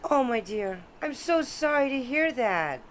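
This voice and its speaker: A woman's voice: high-pitched, sing-song, measured pace, slight background noise. An actress playing a courteous, genteel neighbor woman, easily wounded, in a radio drama. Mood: sympathetic.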